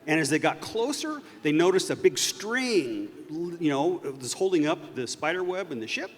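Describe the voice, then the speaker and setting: A man telling a story off the cuff as a panelist at a live fan panel, speaking in a halting, thoughtful way. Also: a little high, very animated. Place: large convention stage. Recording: echoey hall PA with faint noise.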